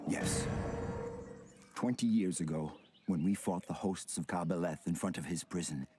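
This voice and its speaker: A deep, solemn elf king. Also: warm tone, slightly rough. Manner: solemn, measured and commanding. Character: grave, guilt-burdened male elf high king. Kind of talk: video game cutscene acting.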